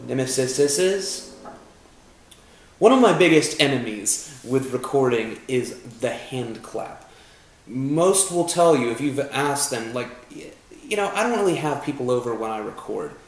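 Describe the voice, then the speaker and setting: A man's voice: mid-range voice, animated, measured pace, echoey room, rough recording. A young man vlogging about recording music at home, chatty and self-deprecating, with joking profane asides. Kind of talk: casual chat. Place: home room.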